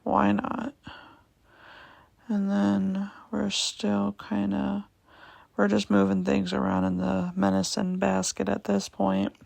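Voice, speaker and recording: Raspy young woman doing a vlog voiceover, lots of ums, flat and dry. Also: mid-range voice, slow pace, no room sound. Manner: hesitant, many ums, repeats herself. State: raspy voice, going in and out. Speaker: vlogger.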